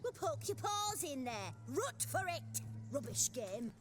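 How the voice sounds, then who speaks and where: A shrill, scolding village maid in a game cutscene. Her voice is very high and swings wildly up and down, with music playing behind. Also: very animated, measured pace. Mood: indignant.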